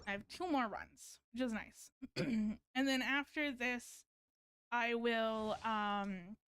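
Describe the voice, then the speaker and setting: Higher-voiced woman streamer, halting and casual. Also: steady tone, a bit bright, clear, resonant tone, no room sound, studio quality. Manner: hesitant, halting, trailing off. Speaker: video game streamer. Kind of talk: casual livestream chat.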